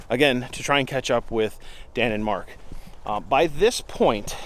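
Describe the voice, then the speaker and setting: Male outdoor storyteller, average pitch, off-the-cuff and slangy, recorded with noticeable ambient noise. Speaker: outdoor vlogger telling a story. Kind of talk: casual, unscripted storytelling to camera. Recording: clear ambient background noise.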